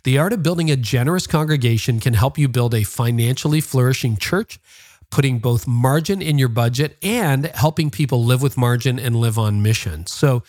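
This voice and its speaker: A man's voice: mid-range voice, moderate pace, a little mellow. A man speaking as a podcast host, in interviews and sponsor reads.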